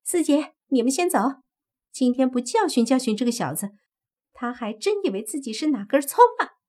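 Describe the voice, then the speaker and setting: A woman voicing a hot-tempered young female fox demon who shouts shrill, scornful insults. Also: expressive, normal speed. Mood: angry scornful.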